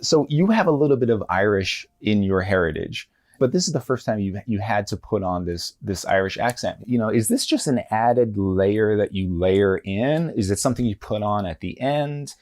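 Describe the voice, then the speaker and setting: Male interview host, lowish voice, earnest and halting, loops back on his words. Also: animated, darkish tone. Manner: earnest, halting, repeats words as he builds a thought. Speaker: podcast host. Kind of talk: interview questions and commentary.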